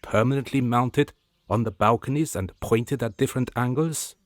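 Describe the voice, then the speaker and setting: An actor playing a gossipy, sympathetic young palace servant in a radio drama, theatrical, deferential and confiding. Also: male voice, medium pitch, moderate pace, dry recording, studio quality, lightly acted. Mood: gossipy, pitying.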